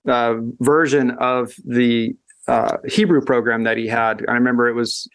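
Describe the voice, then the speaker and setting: A man speaks in a relaxed, conversational way, working out his ideas aloud. His sentences often stall and restart while he searches for the right word. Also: mid-range voice, smooth. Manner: thoughtful, halting with frequent restarts. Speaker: guest educator. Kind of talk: casual conversation.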